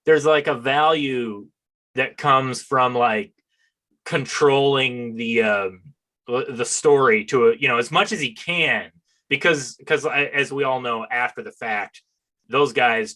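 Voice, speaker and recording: A man's voice: medium pitch, unhurried, pushed and bright. A man hosting a casual interview in a chatty, self-deprecating, joking way. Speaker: interviewer, host and comics writer.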